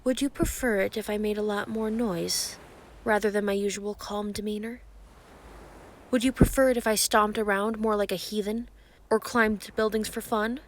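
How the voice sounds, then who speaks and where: A woman playing an ancient, giant female sea creature, calm and grandiose, flirting possessively in an intimate roleplay monologue. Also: medium pitch, a little hiss or hum, close to an everyday voice. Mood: playful, imposing. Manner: calm, teasing, grandiose, formal wording.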